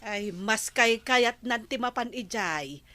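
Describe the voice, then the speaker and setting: Bright, unhurried, exasperated Ilocano neighbour. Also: female voice, on the high side, expressive, full character voice. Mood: nagging. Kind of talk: Filipino radio comedy drama acting.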